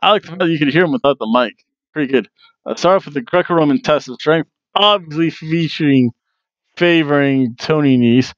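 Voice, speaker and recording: A man's voice: fairly high pitch, a little fast, no room sound, dull audio. A man in his forties hosting a casual wrestling review from a room at home on a headset mic, chatty and jokey.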